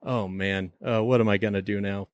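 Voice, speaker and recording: A man's voice: fairly low pitch, steady tone, moderate pace, no echo. A man hosting a podcast that mixes casual chat with comic radio drama.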